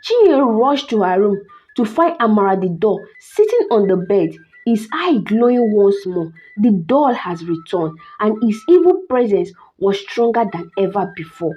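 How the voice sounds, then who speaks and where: A Nigerian woman narrating a scripted folktale, in a dramatic, deliberate way with drawn-out phrases. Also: mid-range voice, sing-song, warm tone, dull audio, soft background music. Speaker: storyteller, channel host.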